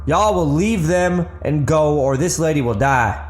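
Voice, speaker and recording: A man's voice: a little high, lively intonation, measured pace, roomy sound, faint music behind, voice pushed up. A man voicing a wary, threatening country gunman with folksy rustic speech in an audiobook drama. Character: wary, threatening rural gunman defending his home and hogs.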